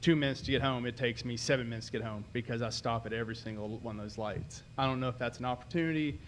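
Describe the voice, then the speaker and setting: A man with a loud voice, a local business co-owner, making a friendly, rambling public comment at a city meeting. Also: mid-range voice, steady tone, quick pace, slight background noise. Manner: friendly and talkative, rambling with fillers. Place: city commission chamber. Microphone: podium microphone.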